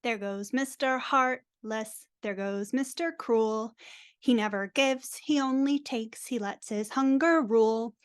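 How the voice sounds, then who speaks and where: A woman playing gossipy townsfolk, reciting rhyming lyrics in a deliberate rhythm during a live reading. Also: a little high, clear, resonant tone. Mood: scornful, gossipy. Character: gossipy townsfolk chorus mocking a miser. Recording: online video call track.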